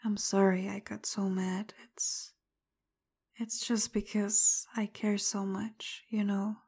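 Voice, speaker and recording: Breathy whispering woman, low husky fry. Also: little pitch movement, takes it slowly. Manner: apologetic, tender, soft-spoken; frustrated and confrontational at first. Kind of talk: roleplay audio addressed to the listener.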